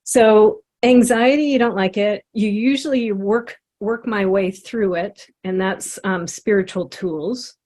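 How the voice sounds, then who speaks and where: A woman facilitating a guided self-inquiry session over a video call, speaking in a gentle, encouraging way. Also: medium pitch, measured pace, few pauses. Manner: gentle, encouraging, drawing while talking. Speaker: satsang facilitator and coach.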